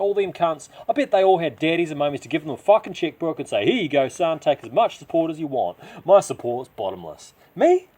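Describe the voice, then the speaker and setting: A man's voice: on the high side, warm tone, pitched above the performer's own voice. A man voicing a bitter, hot-tempered professional wrestler down on his luck, ranting and swearing in a New Zealand accent. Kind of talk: first-person audio story monologue.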